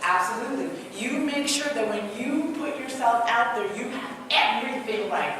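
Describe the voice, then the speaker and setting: A woman with a Trinidadian accent, a motivational speaker giving an energetic live talk to an audience in a large hall, asking them questions and telling personal stories. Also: on the high side, normal speed, noisy background. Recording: echoey hall, mic heard from a distance.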